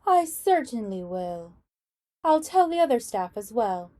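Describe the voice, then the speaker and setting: Woman playing a high, gracious charity matron. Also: very animated, a little slow, dark tone. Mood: sympathetic. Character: gracious, sociable woman managing a charity care home. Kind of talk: radio drama acting.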